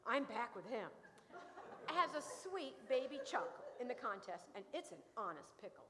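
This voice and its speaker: A woman's voice: a little high, sing-song, moderate pace. A woman playing a brash, combative, needling woman in a comedic radio play. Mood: defiant. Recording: echoey hall, clear background noise.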